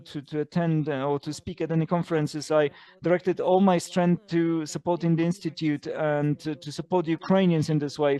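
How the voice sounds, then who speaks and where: Guy interpreting live into english, kinda high and super clear, lots of uh and um. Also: a little mellow. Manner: halting, frequent fillers, uneven bursts of speed. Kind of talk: simultaneous interpretation of an online lecture.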